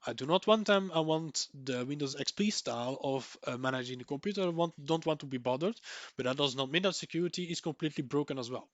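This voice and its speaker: A young man from Belgium whose first language is Dutch, talking through a software tutorial, repeating words for emphasis with many ums and restarts. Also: fairly high pitch, fast talker, no echo, muffled recording. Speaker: tech tutorial creator. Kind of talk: screen-recorded software tutorial.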